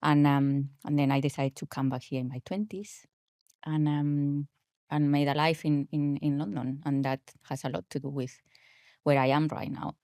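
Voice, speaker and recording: A woman's voice: deep voice, flat delivery, moderate pace, mellow, no room sound, clean studio sound. A woman in her forties with a Spanish accent, a podcast guest talking casually and hesitantly with many fillers. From grew up in Spain. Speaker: podcast guest, health coach. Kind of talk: casual interview talk.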